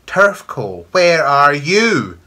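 A man voicing a hideous, menacing witch in a storybook, screaming out commands in drawn-out calls. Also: sing-song, bright, forceful tone, exaggerated voice. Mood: menacing, demanding. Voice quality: screaming, shrill. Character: hideous screaming witch demanding entry at night.

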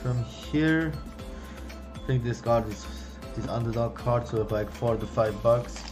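A young man giving casual running commentary as he opens trading card packs, chatty, excited on big pulls and low on misses, recorded at home on a desk mic. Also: unhurried, music in the background. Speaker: card-opening youtuber. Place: home room, indoors.

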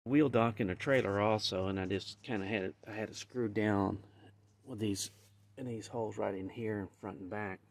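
A man's voice: on the low side, fairly even intonation, moderate pace, gravelly, no room sound. An older man casually explaining as he demonstrates gear in a garage, rambling as he goes. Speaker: channel host. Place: garage with van, indoors and outdoors.

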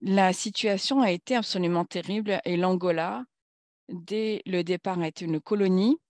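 A woman speaking at a conference in a formal political talk, halting and searching for words as she goes. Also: on the low side, fairly even intonation, darkish tone, dry recording.

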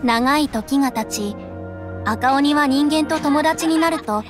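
A woman playing a storyteller who narrates a gentle folk tale in a video game. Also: sits high, takes it slowly, over music. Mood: gentle storytelling. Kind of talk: scripted folktale narration in a game.

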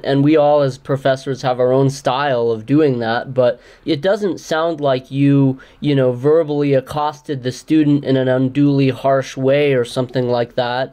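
Male podcast host, mid pitch, measured and careful as an interviewer. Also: a little mellow. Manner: measured, careful follow-up questions. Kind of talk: interview questions.

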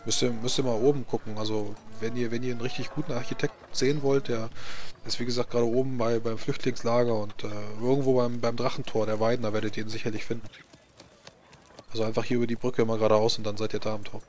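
A man's voice: mid-range voice, little pitch movement, fast, darkish tone, close to an everyday voice. Man playing a rambling, flustered chancellery scribe, noisy recording. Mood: helpful, fussy. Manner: hesitant, rambling, repeats himself. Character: flustered, officious male chancellery scribe, secretive and chatty.

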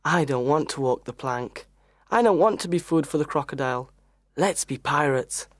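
A woman's voice: deep voice, lively intonation, moderate pace, no echo, clean studio sound, pitched below the performer's own voice. A woman voicing a young boy in a storybook adventure, speaking in an earnest manner. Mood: anxious, eager. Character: young boy on a pirate ship.

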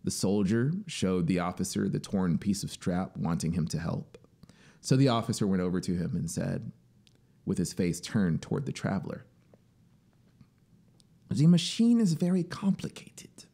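A man's voice: deep voice, steady tone, moderate pace, vocal fry, dark tone. A man in his thirties reading literary fiction aloud as a dramatic narrator, close to a studio microphone. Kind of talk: dramatic audiobook-style story narration. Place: small home music studio indoors. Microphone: close studio mic on boom arm.

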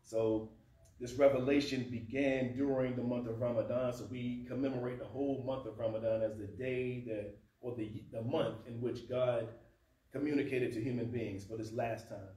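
Guy in his 30s teaching in a prayer room, casual and lively, dry mouth, echoey, mic way off. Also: medium pitch, normal speed. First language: not Arabic. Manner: conversational, animated, gesturing at a lectern. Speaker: speaker teaching at a mosque. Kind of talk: informal teaching talk. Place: carpeted prayer room indoors. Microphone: far camera. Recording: roomy, distant camera sound with faint hum.

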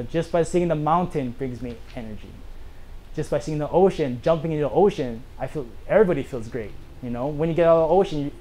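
A man in his thirties from Hawaii, telling a casual, earnest personal story to a small audience in a bookstore, animated and full of "you know" fillers. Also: mid-range voice, a little hiss or hum, low-quality recording. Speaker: author giving a talk.

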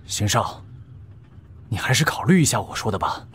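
A man playing a proud associate who holds in his anger, speaking low, restrained and firm in an audiobook drama. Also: sing-song, moderate pace, fairly bright tone, slight background noise.

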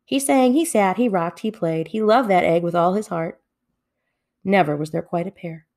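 A woman reading a children's story aloud with expressive narration. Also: on the low side, normal speed. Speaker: storybook reader.

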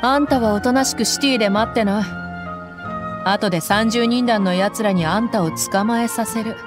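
A woman voicing a tough, blunt female desert mercenary in a game dub, talking in a rough, commanding way over loud background music. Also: fairly high pitch, normal speed, natural voice. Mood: stern.

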